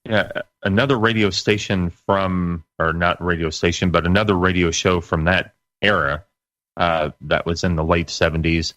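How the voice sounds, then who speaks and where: A man with a very low voice chats as a guest on a radio show. He speaks casually and haltingly, thinking aloud, with a dry sound. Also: steady tone, fairly bright tone, no room sound. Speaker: interview guest, internet radio broadcaster. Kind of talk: casual conversational recollection.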